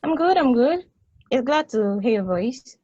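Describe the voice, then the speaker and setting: A woman's voice: high-pitched, sing-song, measured pace, warm tone, slightly rough, no echo, full character voice. A woman acts out a sentient space probe with a big-sister streak. She is blunt, casual and teasing, yet protective. The sound is dry and a little dull, like a modest home microphone. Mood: friendly, brisk. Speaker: actor in a table read. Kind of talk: play table read, conversational acting.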